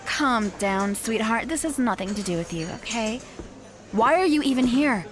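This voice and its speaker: A woman's voice: fairly high pitch, expressive, normal speed, music in the background, close to an everyday voice. An actress playing a vain, indignant young con-artist girlfriend in an audio drama, haughty and condescending. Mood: dismissive, condescending. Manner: haughty, sharp-tongued, condescending.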